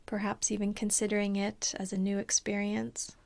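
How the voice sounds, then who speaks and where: A woman meditation teacher leading a guided body scan in a calm, soothing, unhurried way, with a soft, breathy voice that often trails toward a whisper. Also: medium pitch.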